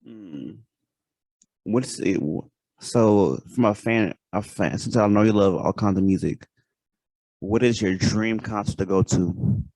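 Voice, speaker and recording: A man's voice: fairly low pitch, unhurried, a little mellow, dry recording, low-quality recording. A man hosting a casual interview, asking long rambling questions full of fillers and restarts. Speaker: podcast host.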